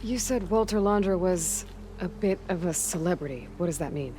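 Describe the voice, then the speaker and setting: A woman's voice: fairly low pitch, lively intonation, moderate pace, warm tone, soft background music, lightly acted. An actress playing a bold, wry young warrior woman in a video game, with a direct, dry, informal way of talking. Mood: curious. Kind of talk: cinematic video game dialogue.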